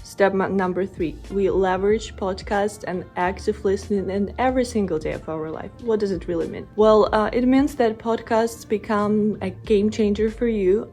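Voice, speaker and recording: Russian-accented woman, vlogger to camera, earnest and encouraging, mid-pitched, faint music under. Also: dark tone. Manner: earnest, encouraging, direct address to viewers. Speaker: language-learning vlogger. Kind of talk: motivational talk to camera.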